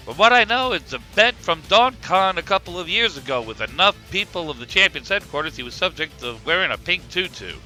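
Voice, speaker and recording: A man playing an excitable, brash and theatrical wrestling commentator calling a match in a hammy style. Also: high voice, a little fast, few pauses, faint music behind, heavily acted, rough recording. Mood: amused.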